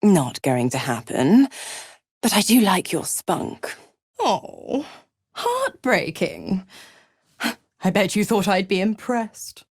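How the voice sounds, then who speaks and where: A woman playing a seductive, scheming devil in a video game, theatrical and condescending, with a silky, mocking delivery that slips toward breathy whispers. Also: mid-range voice, wildly swooping pitch, takes it slowly, pauses often, darkish tone, dry recording, clean studio sound, heavily acted. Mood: taunting. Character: seductive, scheming female devil who trades in pacts. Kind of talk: video game character acting.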